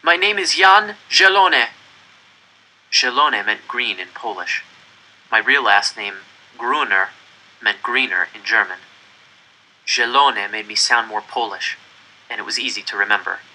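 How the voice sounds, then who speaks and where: A man reading a first-person story aloud in an expressive, deliberate, dramatic way. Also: fairly low pitch, rough recording. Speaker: audiobook reader.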